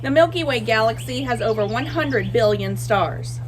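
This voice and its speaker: A woman voicing a boastful, playful Sun from a picture book, theatrical and bragging with drawn-out emphasis. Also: a little high, animated, noisy background, close to an everyday voice. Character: boastful, playful Sun, a cheerful showboating star. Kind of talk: expressive picture-book read-aloud.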